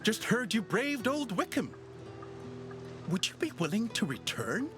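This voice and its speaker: An actor playing a merry, flirtatious tavern regular in a fantasy video game, jovial, theatrical and expansive. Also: male voice, sits high, big pitch swings, measured pace. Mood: coy, friendly. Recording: music behind the voice.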